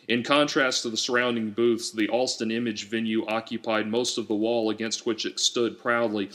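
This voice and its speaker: Man narrating a novel, measured delivery. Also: fairly low pitch, a bit bright. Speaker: author reading own novel. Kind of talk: scripted audiobook narration.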